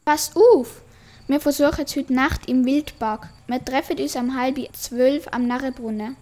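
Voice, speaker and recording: A woman's voice: sits high, a little slow, darkish tone. A woman playing a bossy, daring boy in a German children's audio drama, with a challenging manner. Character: bossy, daring boy who goads his friend.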